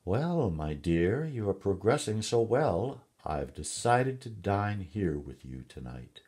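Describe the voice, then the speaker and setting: A man plays a jovial storybook king, hearty and amused. The husky voice swings widely in pitch as he relishes every detail. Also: big pitch swings, slow, darkish tone, full character voice. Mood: pleased. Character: jovial, chuckling storybook king telling of his hunt.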